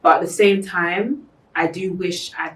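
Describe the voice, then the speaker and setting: A woman's voice: lowish voice, steady tone, a little slow, roomy sound, distant mic. A young woman from co-hosting a podcast, talking in an earnest, reflective way. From Britain. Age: young adult. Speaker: podcast co-host. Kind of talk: casual chat.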